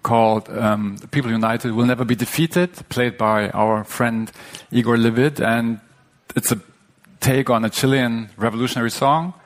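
A man's voice: medium pitch, fairly even intonation, normal speed. A man co-hosting a live podcast on stage, hesitant, with many fillers and restarts. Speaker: podcast co-host. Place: stage before a live audience.

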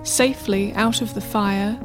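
A woman narrating a scripted folktale as a measured storyteller. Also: mid-range voice, fairly even intonation. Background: music.

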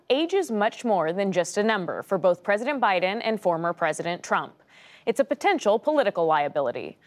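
Lively female news correspondent. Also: medium pitch. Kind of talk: scripted broadcast report.

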